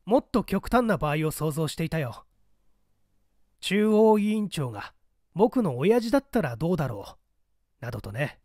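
A man's voice: fairly high pitch, sing-song, normal speed, pauses often, darkish tone, dry recording, studio quality, pitched above the performer's own voice. A man voicing an intense, probing member of a secret party in an audiobook, with an earnest, pressing, questioning manner. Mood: intense, uneasy. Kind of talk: audiobook character acting.

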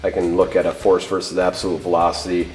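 Low-voiced man presenting in echoey room. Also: completely flat delivery, fast talker. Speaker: company product presenter. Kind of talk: product presentation and demonstration. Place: indoor workshop showroom. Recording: roomy echo, faint music behind.

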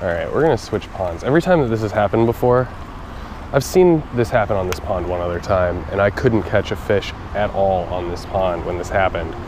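A man's voice: deep voice, lively intonation, brisk. A young man talking casually to his viewers while he fishes at a pond, recorded on an action camera. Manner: chatty, talks to viewers while fishing. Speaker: fishing vlogger. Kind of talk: casual outdoor commentary. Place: outdoors at a pond. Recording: outdoor noise from a body camera.

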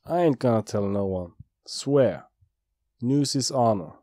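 A man's voice: medium pitch, animated, unhurried, warm tone, no room sound, clean studio sound. A man voicing a cocky young newsboy leader in a fan audio drama, slangy and wisecracking. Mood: reassuring.

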